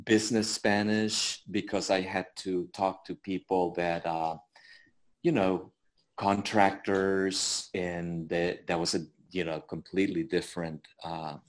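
Very deep-voiced chatty man from El Salvador. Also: flat delivery, measured pace. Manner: chatty storyteller with fillers and chuckles. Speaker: guest court interpreter. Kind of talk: casual interview talk.

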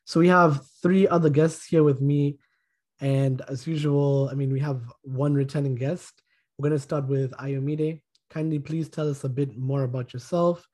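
A man hosting a podcast discussion, introducing guests in a measured, courteous way. His voice is warm and mellow with a slight huskiness. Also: a little high, fairly even intonation. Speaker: podcast host.

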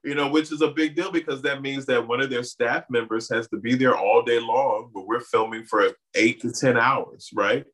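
A man's voice: mid-range voice, moderate pace, hardly any pauses, rough recording. A man from the Bahamas raised in Miami, a guest in an interview, telling stories with lots of "you know" fillers. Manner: animated storyteller, many "you know" fillers. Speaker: artist and community organizer guest. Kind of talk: interview conversation.

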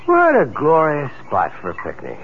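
A man's voice: a little high, wildly swooping pitch, moderate pace. An actor playing a rattled, defensive man haunted by visions, agitated and prone to stammering, in a 1970s radio drama. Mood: uneasy, evasive. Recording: tinny, noisy 1970s radio broadcast.

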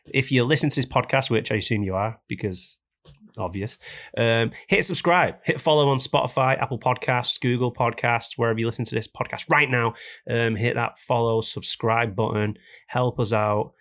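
A man hosting a casual podcast, hoarse and raspy from a cold, ranting jokily about things that annoy him. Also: mid-range voice, normal speed, fairly bright tone. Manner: jokey, complaining rants. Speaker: podcast host.

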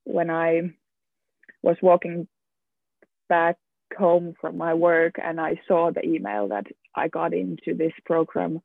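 A young man speaks slowly and haltingly, his higher voice catching on frequent restarts and repeated words. His tone carries a gravelly fry. The sound is thin and phone-like, with a dry, close feel. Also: dry recording. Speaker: student guest. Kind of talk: casual round-table chat. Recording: tinny phone-like line, dry.

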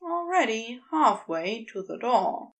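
A woman's voice: on the high side, sing-song, takes it slowly, muffled recording. A woman reading a scripted piece of fiction as its narrator.

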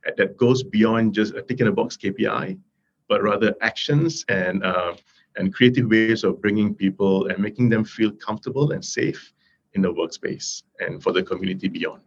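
A man's voice: on the low side, moderate pace, slightly rough. A man speaking as a podcast guest, introducing himself conversationally with earnest passion. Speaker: podcast guest, diversity and inclusion professional. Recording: slightly dull, lo-fi sound.

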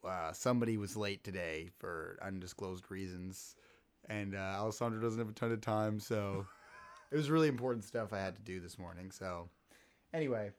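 New dude hosting a sports podcast, scratchy throat, kinda low voice, keeps restarting sentences. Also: male voice, normal speed, clean tone. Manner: rambling, self-interrupting. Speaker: podcast host. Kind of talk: casual sports chat.

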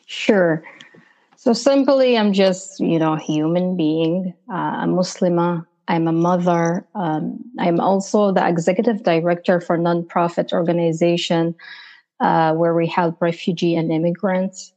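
A woman from Palestine with a Palestinian Arabic accent, answering as an interview guest in halting, conversational phrases. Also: lowish voice, steady tone, mellow. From Palestine (Jerusalem). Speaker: podcast guest, nonprofit director and activist.

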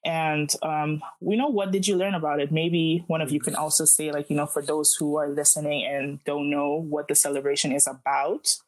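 A woman's voice: low-pitched, level tone, normal speed, few pauses. A young woman from Aruba hosting a casual student podcast, chatty and friendly with many fillers. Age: young adult. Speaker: podcast host.

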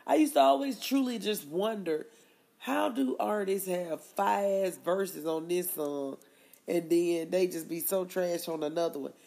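A woman's voice: lowish voice, measured pace, creaky, dark tone. Laid-back woman with a stuffy nose. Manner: laid-back, slangy, laughs often. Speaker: podcast co-host. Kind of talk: casual chat.

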